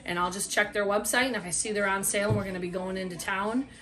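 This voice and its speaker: A woman's voice: mid-range voice, fast talker, bright, forceful tone. A middle-aged woman talking informally and at some length in a casual product review, recorded at a table at home. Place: small room at home, indoors. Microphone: camera a short way off across a table.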